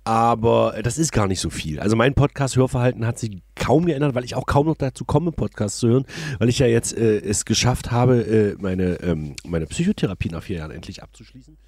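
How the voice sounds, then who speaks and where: Guy cohosting a podcast, husky voice, dry close sound, rambles with lots of ums. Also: mid-range voice, lively intonation, brisk, darkish tone, no room sound. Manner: chatty, many fillers and restarts. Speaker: podcast co-host. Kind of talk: casual chat.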